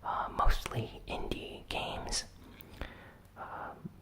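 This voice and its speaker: A host giving a whispered ASMR recap of gaming news, in a soft unvoiced whisper with relaxed, casual asides. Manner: unhurried, with frequent "you know" and "uh" fillers.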